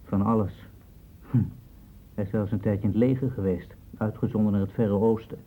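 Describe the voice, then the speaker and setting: An actor playing an informed, unsentimental doctor in a Dutch radio play, reporting in a clipped, factual way. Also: male voice, on the low side, fairly even intonation, normal speed, slight background noise, lightly acted. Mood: matter-of-fact. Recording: muffled, dull old radio recording.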